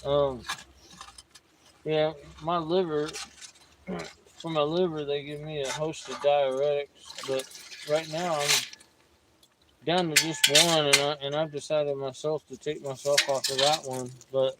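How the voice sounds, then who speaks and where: A man in his fifties, hosting a prospecting livestream outdoors and chatting in a relaxed, rambling way. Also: a little high, measured pace, very noisy. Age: 50s. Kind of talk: casual outdoor chat. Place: outdoors by a creek.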